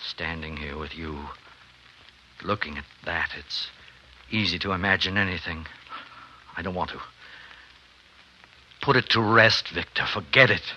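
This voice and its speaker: Tense, earnest, troubled minister. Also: male voice, sits low, pushed and bright, full character voice. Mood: horrified pleading. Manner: halting, earnest, pleading delivery. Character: anxious, devout male minister, pleading and morally troubled. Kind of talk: 1950s radio drama acting. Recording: faintly noisy 1950s radio recording.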